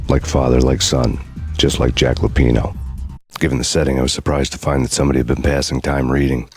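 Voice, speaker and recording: A weary noir detective narrates in an extremely deep, flat, brooding monotone. The voice-over plays over loud music in a game. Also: male voice, brisk, breathy edge, dry recording, heavily acted. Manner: brooding deadpan.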